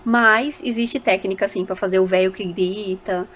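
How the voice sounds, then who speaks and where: A woman's voice: mid-range voice, fairly even intonation, normal speed, a bit bright. A Brazilian woman speaking casually as a voice actor guest in a podcast interview, animatedly telling stories and acting out little quoted remarks.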